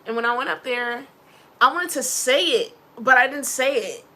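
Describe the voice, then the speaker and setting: A young woman with a Philadelphia accent chats in a loose, rambling vlog. Her voice is bright and forceful, with a gravelly fry and a slightly high pitch. A faint hiss sits behind her. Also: moderate pace. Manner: chatty, rambling, laughs often, faith talk. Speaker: vlogger. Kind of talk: casual personal vlog.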